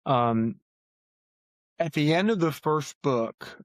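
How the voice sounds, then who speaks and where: A man's voice: on the high side, lively intonation, takes it slowly, no echo. A man talking earnestly as an author guest on a podcast, repeating phrases for emphasis. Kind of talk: earnest podcast interview talk.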